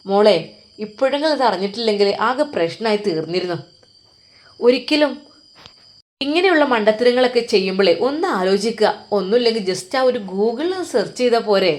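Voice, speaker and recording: A woman's voice: fairly high pitch, animated, a little fast, close to an everyday voice. Woman reading as a hospital doctor, firm, lecturing, long advice to a patient, everyday voice. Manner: lecturing, advising at length.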